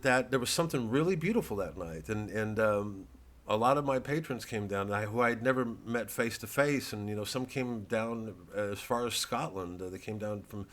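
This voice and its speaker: A man with a low, forceful voice who talks straight to his viewers. He rambles with frequent restarts and swears freely. He is quick to run himself down. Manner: rambling, profane and self-deprecating, with many restarts. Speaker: comedian. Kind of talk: rambling talk to camera.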